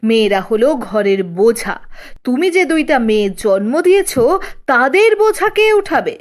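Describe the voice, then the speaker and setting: Woman acting a domineering village father, high-pitched. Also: sing-song, normal speed. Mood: angry, threatening. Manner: blunt, commanding. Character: harsh, domineering village father obsessed with sons. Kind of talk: children's cartoon character acting.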